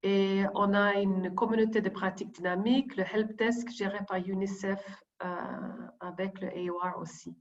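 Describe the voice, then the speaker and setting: A woman deputy coordinator reading prepared opening remarks over a video call, hesitant, with frequent pauses and restarts. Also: medium pitch, steady tone, muffled recording. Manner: hesitant, many fillers and restarts while reading.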